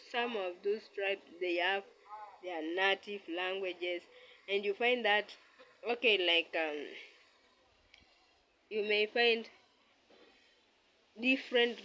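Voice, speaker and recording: A speaker from Kenya with a Kenyan accent, giving a casual, halting talk to camera as an aspiring travel vlogger and often searching for words. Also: mid-range voice, pushed and bright, slight background noise, rough recording.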